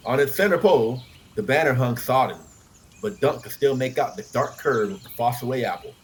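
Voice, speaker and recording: A man's voice: mid-range voice, fairly quick, slight background noise. A man narrating fiction as an audiobook reader, expressively telling the story.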